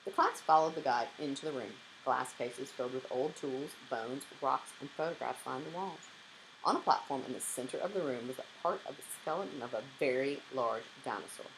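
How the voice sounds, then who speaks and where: Woman, children's storybook narration, expressive, low-pitched, faint background noise. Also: gravelly, rough recording. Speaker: teacher reading aloud to children.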